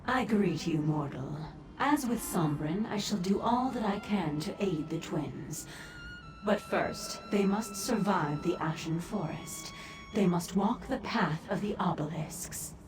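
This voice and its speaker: Echoing, imperious demon matriarch. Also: female voice, medium pitch, huge pitch swings, unhurried. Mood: solemn, instructive. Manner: stately, commanding, formal. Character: imperious, solemn female demon clan leader. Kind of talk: formal fantasy video game voice acting. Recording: echoing voice over game music.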